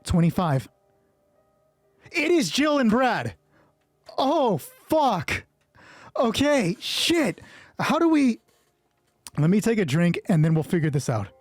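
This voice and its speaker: A man's voice: high-pitched, sing-song, takes it slowly, long pauses, no room sound, faint music behind. A man narrating an improvised solo tabletop game, thinking aloud casually and swearing freely. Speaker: solo roleplay player and narrator.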